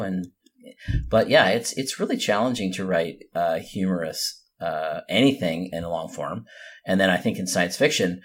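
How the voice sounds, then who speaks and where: A man talking casually on a podcast, feeling out his thoughts in tentative, halting phrases. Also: low-pitched. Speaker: podcast co-host.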